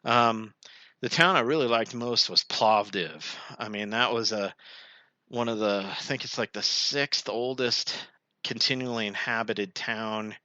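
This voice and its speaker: A man's voice: on the low side, a little slow, sharp tone, no room sound. A man hosting a solo podcast, rambling through his thoughts with lots of fillers and tangents. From United States. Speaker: podcast host. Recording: dull lo-fi sound, dry.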